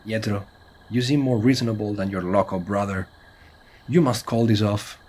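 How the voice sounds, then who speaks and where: A man's voice: lowish voice, normal speed, a little mellow, a little hiss or hum, natural voice. A man playing a serious, cautious monster hunter with a Spanish accent, speaking in earnest, formal phrases with Spanish interjections in a comedy horror audio drama. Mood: worried, pleading.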